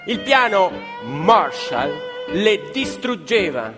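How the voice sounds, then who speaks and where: A man's voice: sits high, sing-song, takes it slowly. Theatre guy telling a story, declamatory, swoops up and down a lot, hall reverb, violin music behind. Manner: declamatory, with rhetorical questions and repetitions. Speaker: actor-storyteller. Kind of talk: dramatic theatrical narration for radio theatre. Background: live violin and plucked strings.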